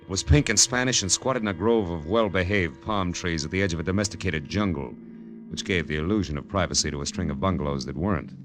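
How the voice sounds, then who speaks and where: An actor playing a wry, hard-boiled American private detective in an old-time radio drama, with dry, clipped wisecracks. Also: male voice, low voice, fast talker, a bit bright. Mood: wry narration. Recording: vintage radio drama recording.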